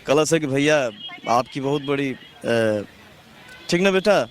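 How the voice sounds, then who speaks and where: A man's voice: mid-range voice, expressive, slow, slight background noise. A man with a Bhojpuri accent in casual chat that slips into swaggering, film-style dialogue, full of familiar endearments.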